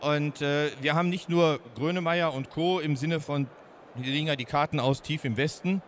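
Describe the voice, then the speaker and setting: A man in his fifties with a Ruhr German accent, pitching his startup's product at a trade fair booth into a handheld mic, folksy and lively with many fillers. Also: normal speed, slight background noise. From Ruhr area, Germany. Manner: lively, folksy, fillers, speeds up when proud. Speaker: startup founder presenting.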